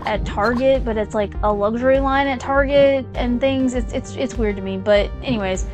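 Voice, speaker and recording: A woman's voice: fairly high pitch, moderate pace. A young woman chatting casually while playing a video game, rambling with lots of fillers and side tangents. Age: young adult. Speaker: gaming channel host. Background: game music.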